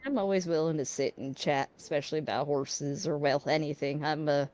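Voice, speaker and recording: A woman's voice: sits low, a little fast, a little mellow, muffled recording. A woman chatting in character during a roleplay game, easygoing and chatty as she tells stories.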